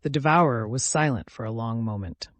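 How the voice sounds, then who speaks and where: A man's voice: mid-range voice, a little fast, no room sound. A man reading a dramatic scripted fantasy story as its narrator.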